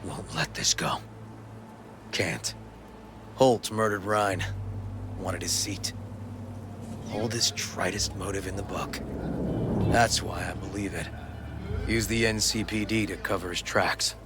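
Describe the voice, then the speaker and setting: Dogged American police detective, game dialogue, low pitch, clipped street talk, gruff, noisy street ambience. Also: male voice, slow, pauses often. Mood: grimly determined. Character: dogged, principled, brooding male police detective. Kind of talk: video game voice acting.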